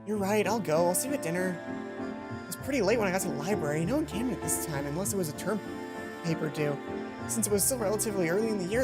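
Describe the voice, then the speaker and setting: A woman doing a let's play, reading a visual novel's story aloud dramatically and dropping in casual asides about her own slips. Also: medium pitch. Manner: acts out dialogue, stumbles and comments on own slips. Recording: music under the voice. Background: game music.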